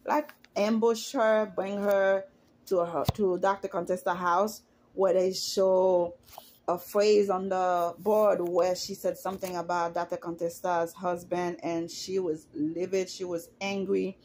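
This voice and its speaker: A woman from Haiti, giving her opinions on reality TV in a casual, chatty vlog and climbing in pitch when she gets indignant. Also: level tone, a little slow, a little mellow, slight background noise, low-quality recording. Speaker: YouTuber commenting on reality TV.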